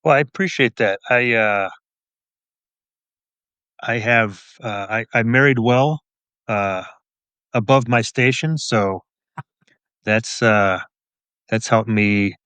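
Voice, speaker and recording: A man's voice: mid-range voice, takes it slowly, long pauses, fairly bright tone, clean tone, no room sound. A middle-aged man giving casual answers as an interview guest, modest and understated with dry humour. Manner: modest, understated, dryly humorous. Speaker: podcast guest, police officer and author.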